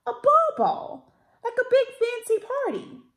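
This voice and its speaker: A woman voicing an excitable, encouraging king and father in a storybook, speaking emphatically. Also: very high voice, unhurried, pitched above the performer's own voice, full character voice. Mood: excited. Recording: roomy indoor echo.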